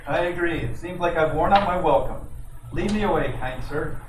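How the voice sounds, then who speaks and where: A man's voice: fairly high pitch, moderate pace, natural voice. Man acting a cocky, flirty detective, noisy echoey recording. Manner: smooth-talking, wisecracking, slangy. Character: flirty, cocky detective posing as an innocent train passenger. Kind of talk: old-time radio detective drama acting. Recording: roomy, noisy, distant amateur mic.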